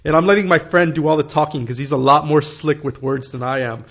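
Man, thirties, fairly high-pitched, casual rambler, lots of fillers. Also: a little fast, fairly bright tone. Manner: rambling, many fillers and swearing, laughs often. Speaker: guest telling a story. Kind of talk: casual storytelling in conversation.

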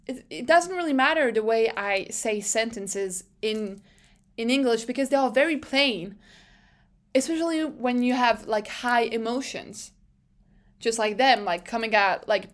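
High-voiced, animated young woman host. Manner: animated, rambling, laughs often. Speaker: podcast host. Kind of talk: casual recap chat.

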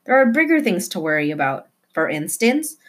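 A woman's voice: on the low side, big pitch swings, moderate pace. A woman reads the part of a young storybook girl who is short on patience. She is blunt, skeptical and curt, dismissing what she hears. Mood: impatient.

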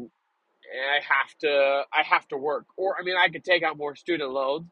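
Congested young man podcasting, lighter pitch. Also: a bit bright, no echo, poor audio. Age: young adult. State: slightly congested. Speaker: podcast host. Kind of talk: casual solo podcast monologue, confessional.

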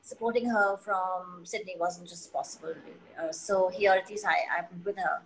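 A woman's voice: fairly low pitch, steady tone, normal speed, slight background noise, low-quality recording. A woman, speaking candidly and earnestly as a guest in a personal conversation. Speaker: interview guest.

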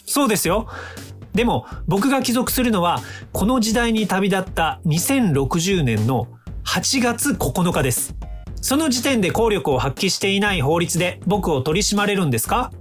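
An actor in a radio drama playing a calm, smug inventor from the future, polite and lecturing in a self-assured way. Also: male voice, sits high, normal speed, faint music behind. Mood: lecturing, triumphant.

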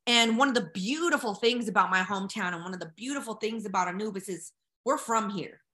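A woman's voice: medium pitch, brisk, sharp tone. An American woman from Colorado talking casually and with strong opinions as an interview guest, brash and slangy in her straight talk. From Colorado, United States. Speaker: podcast guest.

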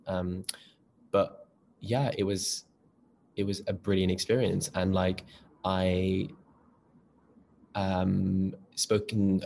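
A young man from North West England, chatting casually in an interview in a camp, lively way, calling people darling and girl. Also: sits low, creaky, a little hiss or hum. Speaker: actor, interview guest.

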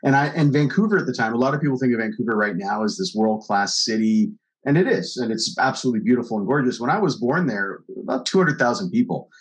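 A man from Canada in his forties, a guest on a podcast, telling stories in a relaxed way with lots of fillers. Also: mid-range voice, quick pace, low-quality recording. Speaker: podcast guest, business founder. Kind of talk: casual podcast interview.

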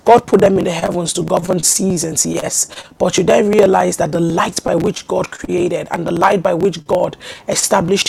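A woman preaching a teaching sermon in a measured way, with frequent ums and repeated phrases. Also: fairly low pitch, creaky, a little mellow, slightly rough, poor audio. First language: not Hebrew.

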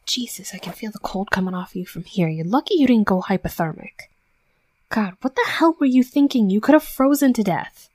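A woman's voice: mid-range voice, lively intonation, brisk, darkish tone, no echo, lightly acted. A woman voicing a tough young female werewolf bully in an intimate roleplay audio drama, brash and sweary but grudgingly tender. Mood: exasperated, bossy.

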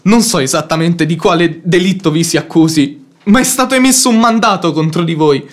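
Man acting an earnest, high-voiced gentleman. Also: a little fast, close to an everyday voice. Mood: grave distress. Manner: formal, grave, urgent. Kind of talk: radio drama acting.

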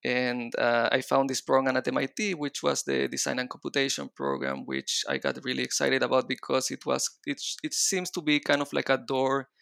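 Man, Israeli-Chilean Spanish accent, native Spanish speaker, hesitant, gravelly, dry sound. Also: medium pitch, lively intonation, normal speed, few pauses, a bit bright, no echo. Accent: Israeli and Chilean Spanish mix. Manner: hesitant, frequent restarts and fillers. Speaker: guest designer. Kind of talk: casual interview conversation.

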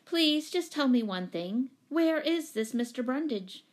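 A woman's voice: sits high, lively intonation, measured pace, voice pushed up. A woman playing a weary, bewildered mother in a story, polite but firm and plain-spoken. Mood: confused, firm.

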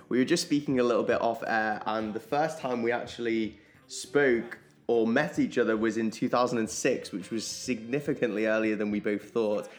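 A man hosting a podcast, reading a short intro and then asking questions with encouraging interjections. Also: mid-range voice. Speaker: podcast host.

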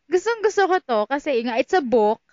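High-pitched Filipino woman, casual teasing chat. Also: sing-song, a little fast, darkish tone, no room sound, dull audio. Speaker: podcast co-host. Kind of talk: casual bantering book chat in code-switched speech.

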